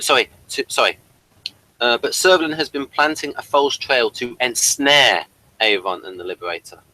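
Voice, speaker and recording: A man co-hosting a casual TV review, talking in a halting, digressive way and sometimes reading aloud. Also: medium pitch, pushed and bright, rough recording. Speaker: co-host of a TV review show.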